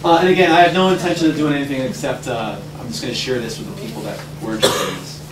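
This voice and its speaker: A man leading a group, giving informal, directive instructions and repeating himself to be clear. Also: mid-range voice, steady tone, racing. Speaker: reading group leader, teacher. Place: indoor room with a group. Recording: echoey room, far mic, noisy hum.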